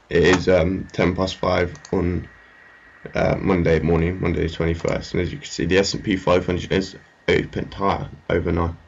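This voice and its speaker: A man's voice: deep voice, gravelly, darkish tone, breathy edge, dull audio. A man giving casual, unscripted commentary on a screen as a market analyst, hesitant and rambling. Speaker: trading analyst presenting his market update. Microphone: amateur screen-recording voice-over.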